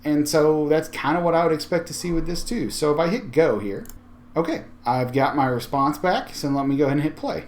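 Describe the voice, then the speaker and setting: A man's voice: on the high side, fairly quick, a little hiss or hum. Conversational man hosting a tech tutorial. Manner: informal, conversational, thinking aloud. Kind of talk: casual screen-share tutorial.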